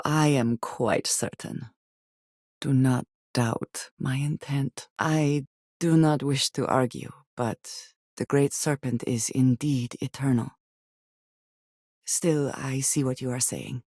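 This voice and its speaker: A woman voicing a guarded, devout space adventurer and loyal companion in a video game, speaking in formal, measured diction. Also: very deep voice, a little mellow, no echo, clean studio sound, full character voice. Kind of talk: video game character dialogue.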